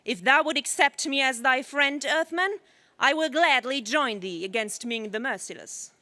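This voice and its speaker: Woman as a bright-voiced, fierce lion warrior queen. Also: high-pitched, animated, normal speed, no echo. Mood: proud, friendly. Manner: grand, declamatory, archaic speech. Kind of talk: 1930s radio serial acting.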